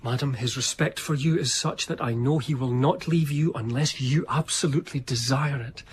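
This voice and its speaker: An actor playing an eager, ingratiating gentleman, courteous and wordy, in a period radio drama. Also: mid-range voice, normal speed, a little hiss or hum, lightly acted. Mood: pleading. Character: eager, ingratiating Scottish gentleman, self-satisfied narrator.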